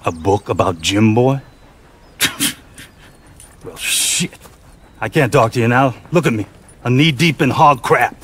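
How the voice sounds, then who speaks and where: An actor playing a boastful, crabby old outlaw turned hog farmer in a Western game, crude and menacing, with a rural American accent. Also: male voice, mid-range voice, takes it slowly, pauses often, fairly bright tone, slightly rough. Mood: gruff, dismissive. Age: older. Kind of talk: video game cutscene acting.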